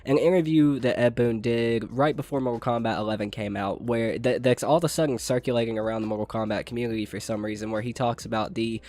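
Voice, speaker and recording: A young man with a nasal voice, stuffed up from a cold. He talks casually like a gaming news host, rambling, swearing and restarting his sentences. His pitch sits in the middle of the range. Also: fairly even intonation, quick pace, few pauses, darkish tone. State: sick with a stuffy nose. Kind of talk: casual commentary.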